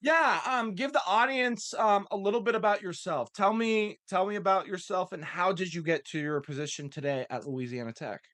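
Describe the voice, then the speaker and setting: A man's voice: high-pitched, normal speed, hardly any pauses, fairly bright tone, no echo. A man hosting a casual sports interview, asking informal, loosely strung-together questions. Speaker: interviewer, host.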